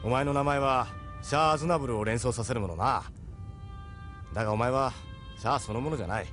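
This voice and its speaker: Man acting a deep, wry spaceship captain. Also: fast talker, a bit bright, soft background music, natural voice. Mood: musing, explanatory. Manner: frank, worldly, wry. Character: seasoned, persuasive ship captain of a secret movement. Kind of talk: Japanese audio drama acting.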